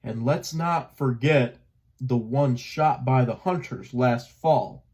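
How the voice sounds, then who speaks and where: A man's voice: mid-range voice, slow, darkish tone. A man voicing a smug, mocking male duck who leads his flock in a storybook. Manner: sneering, chuckling.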